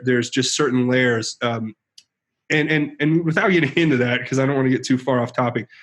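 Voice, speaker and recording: A man hosting an interview, asking long, hesitant questions. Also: mid-range voice. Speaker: host. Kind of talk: interview conversation.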